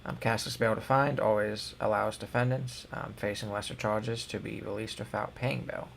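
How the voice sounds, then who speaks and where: A man's voice: on the low side, fairly even intonation, normal speed, creaky, slight background noise. A young man co-hosting a news show, reading headlines and then giving loose, filler-heavy opinions. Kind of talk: casual news commentary.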